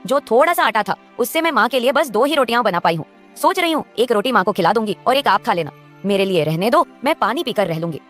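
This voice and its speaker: Woman as an anxious young sister; fairly high voice, rapid delivery. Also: lively intonation, a bit bright, faint music behind. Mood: worried. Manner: anxious, pleading. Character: poor young sister, worried and selfless. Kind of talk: animated story voice acting.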